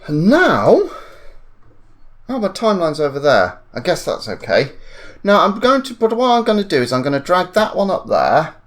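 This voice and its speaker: A man giving an informal software tutorial, thinking aloud and correcting himself in a hesitant way. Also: sits high, huge pitch swings, moderate pace, slight background noise. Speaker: tutorial presenter.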